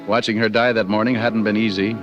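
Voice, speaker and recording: An actor playing a slick, sharp-tongued male private detective with a glib, sardonic manner in 1940s radio drama style. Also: fairly low pitch, flat delivery, brisk, dull audio, over music, lightly acted. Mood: somber narration.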